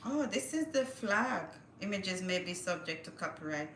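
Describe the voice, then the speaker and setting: Bright-voiced woman livestreaming. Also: fairly low pitch, lively intonation, moderate pace, reverberant, a little hiss or hum. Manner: chatty, thinks aloud, reads with stumbles. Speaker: livestream host. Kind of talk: casual livestream chat, reading news aloud.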